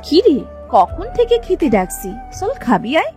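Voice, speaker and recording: A woman voicing a caring, fussing elder sister, a poor young village woman in an animated moral story. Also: high-pitched, normal speed, faint music behind. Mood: coaxing. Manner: expressive, fussing, motherly.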